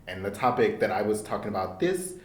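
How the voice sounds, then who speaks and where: A man's voice: fairly low pitch, animated, fast talker, slight background noise. A man co-hosting a podcast, talking earnestly and openly, with light laughs between his thoughts. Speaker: podcast co-host. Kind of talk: casual reflective chat. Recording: echoey room.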